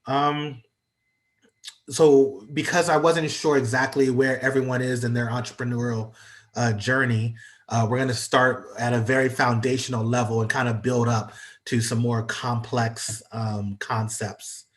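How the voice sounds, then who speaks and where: A man's voice: mid-range voice, level tone, unhurried. A congested man gives an online presentation as a guest speaker, talking hesitantly with many fillers. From United States. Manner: hesitant, lots of ums and uhs. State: congested from allergies. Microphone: video call.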